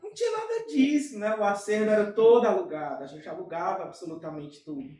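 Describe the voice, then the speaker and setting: Brazilian guy chatting in an interview, animated, lots of asides, sounds far from the mic, really echoey room. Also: high voice, crowd noise. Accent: Brazilian Portuguese. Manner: animated, with frequent fillers and quick asides. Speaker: interview guest, entrepreneur. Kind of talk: casual storytelling in an interview.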